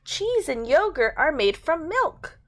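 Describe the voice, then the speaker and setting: A woman's voice: high voice, sing-song, slow pace, background noise. A woman reading a picture book aloud to young children and chatting to them in simple, encouraging language.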